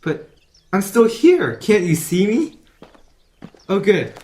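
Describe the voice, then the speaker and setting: A performer playing a young boy turned ghost in an audio drama, earnest, expressive and pleading. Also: medium pitch, slow. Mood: frustrated, pleading.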